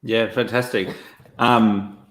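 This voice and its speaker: A man hosting a casual live-streamed interview on a video call, relaxed and full of ums, calling his guest mate. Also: fairly low pitch, takes it slowly. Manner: relaxed, uses fillers like um, calls guest mate. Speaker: host. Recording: echoey room on a video call.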